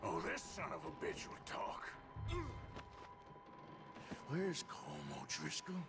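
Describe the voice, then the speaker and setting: An actor playing a rough, hot-tempered American outlaw gunslinger in a video game cutscene. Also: male voice, mid-range voice, wildly swooping pitch, slow, music in the background, heavily acted. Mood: menacing.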